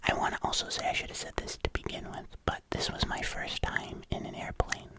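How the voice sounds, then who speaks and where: A young adult ASMR creator whispering a rambling voiceover into a binaural mic, congested, with clicky mouth sounds and many fillers. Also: brisk. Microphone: binaural microphone.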